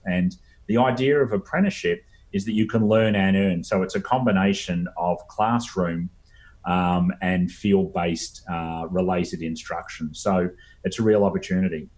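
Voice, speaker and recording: Male interviewee, middle-aged, Australian accent, low voice, hesitant explanatory delivery. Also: a little mellow. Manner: halting, hesitant phrasing with frequent ums. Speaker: interview guest, apprenticeship expert.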